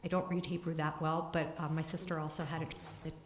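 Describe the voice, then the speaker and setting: A woman's voice: deep voice, fast talker, slight background noise. Woman speaking in an echoey sanctuary. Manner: earnest, steady, with small restarts. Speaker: congregant giving a family history talk. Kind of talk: prepared talk then answering questions. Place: synagogue sanctuary. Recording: echoey room.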